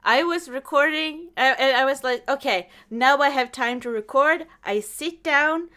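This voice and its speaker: A woman's voice: high voice, a little slow. A woman chatting casually as a podcast host, acting out her own reactions as she tells stories. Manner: animated storyteller who acts out own reactions. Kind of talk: casual chat.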